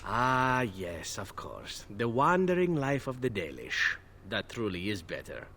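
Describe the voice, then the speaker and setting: A flirtatious elf assassin purrs his lines in a low, smooth voice that swoops up and down with a teasing lilt. This video game voice has a faint hiss of noise under it. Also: sing-song, a little slow, bright, forceful tone, exaggerated voice. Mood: wistful.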